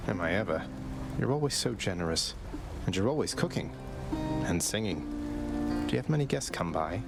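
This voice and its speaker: An actor playing a cool, courteous young underworld prince in a video game, with a dry, understated delivery. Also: male voice, fairly low pitch, expressive, moderate pace, gravelly. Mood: wry, courteous. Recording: loud music behind the voice.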